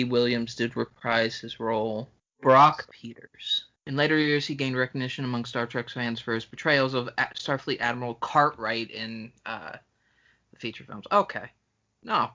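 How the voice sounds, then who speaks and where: A male podcast co-host chatting casually. He has a bright, mid-pitched voice and a wry, deliberate way of putting things. The audio is a little dull and lo-fi. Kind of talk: casual chat.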